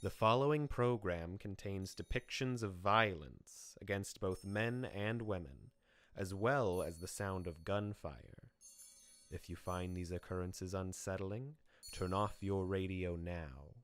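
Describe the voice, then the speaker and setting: Man acting a deep, slow, ominous announcer. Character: solemn, ominous horror radio announcer. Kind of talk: old-time radio announcing.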